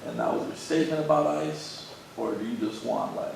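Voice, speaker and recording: A man's voice: mid-range voice, brisk, vocal fry. A man in an audience asking probing questions in a casual, colloquial way. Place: large echoey indoor arts venue. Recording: very echoey room, distant mic, noisy.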